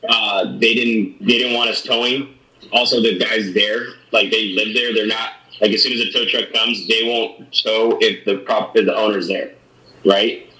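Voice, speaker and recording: A man, a sheriff's deputy, briefing a community meeting in a halting way, with many restarts and fillers. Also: on the low side, fairly even intonation, pushed and bright. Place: meeting room. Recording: echoey room.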